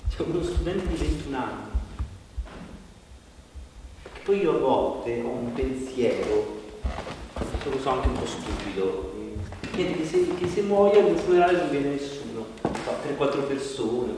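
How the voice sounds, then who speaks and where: Actor playing a self-doubting man, halting confessional theatre speech, long reverb, faint noise. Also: mid-range voice, animated, close to an everyday voice. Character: self-doubting man musing on his own funeral. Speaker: stage performer. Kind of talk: confessional theatrical monologue in conversational tone. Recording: very echoey hall, distant, faint noise.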